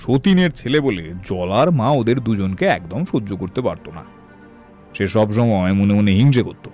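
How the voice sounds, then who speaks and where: A man's voice: on the low side, expressive, normal speed, a little mellow. A man narrating a dramatised cartoon story as its storyteller. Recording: faint music behind the voice.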